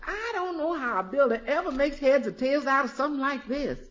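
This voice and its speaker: A folksy, sassy housekeeper from a fifties radio sitcom, wry and exasperated. Her high voice moves up and down a lot on a muffled old recording. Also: animated, brisk, fairly bright tone. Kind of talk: 1950s radio situation comedy acting.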